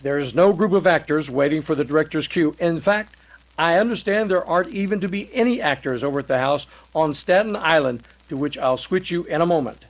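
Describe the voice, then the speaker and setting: A man's voice: fairly high pitch, a little fast, close to an everyday voice, rough recording. A man playing an earnest 1930s radio announcer, with a formal, salesmanlike scripted delivery. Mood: suspenseful, formal.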